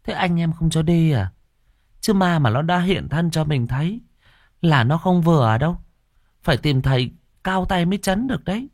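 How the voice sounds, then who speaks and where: A man voicing a practical, concerned countrywoman, brisk and insistent, in an audio story. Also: on the high side, lively intonation, no room sound, studio quality, voice pushed up. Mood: urgent, advising. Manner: insistent questioning.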